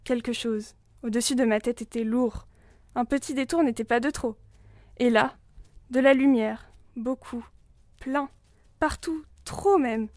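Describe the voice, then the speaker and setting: A woman's voice: a little high, a little slow, darkish tone, no room sound, clean studio sound. A young woman reading a scripted, intimate literary journal as a narrator. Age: young adult. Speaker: student narrator.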